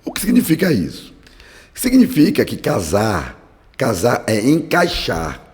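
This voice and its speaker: Brief: hoarse male Bible teacher, fervent preaching cadence, long reverberant hall. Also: medium pitch, wildly swooping pitch, a little slow, darkish tone. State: hoarse from a cold. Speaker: Bible study podcast host. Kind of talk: devotional teaching with prayer.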